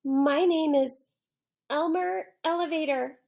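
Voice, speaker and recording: Little boy hero for a storybook, read by a woman: polite, clever, higher-pitched, measured pace. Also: creaky, darkish tone, heavily acted. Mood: meek. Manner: pleading, helpful, polite. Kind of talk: children's storybook character reading.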